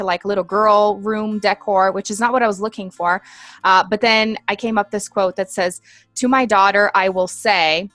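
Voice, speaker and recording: A woman from Brazil, in her thirties, speaks English. She tells her story as an interview guest, earnest and reflective. Her voice is dry and close, with soft music faintly underneath. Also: medium pitch, dry recording. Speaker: guest, empowerment coach. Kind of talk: interview conversation.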